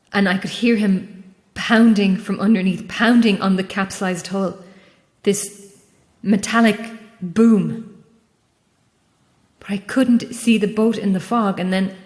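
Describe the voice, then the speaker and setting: A woman playing a guilt-ridden, lonely lighthouse keeper in a horror audio drama, speaking in a halting, raw, confessional way. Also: medium pitch, a little mellow. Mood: anguished guilt. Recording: long reverberant echo.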